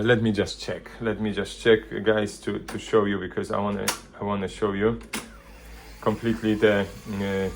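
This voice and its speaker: A man presenting a casual hands-on product demonstration, thinking aloud in loose, halting phrases. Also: on the low side, slight background noise. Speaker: vintage hi-fi video presenter.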